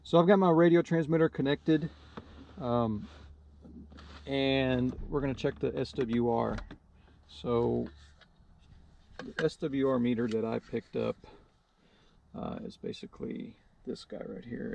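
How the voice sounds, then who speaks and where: A man's voice: medium pitch, fairly even intonation, unhurried, vocal fry, pauses often, darkish tone. A man giving a casual, hands-on how-to explanation in a hesitant, unscripted and modest way, as the host of a DIY video. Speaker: DIY video host demonstrating a radio installation.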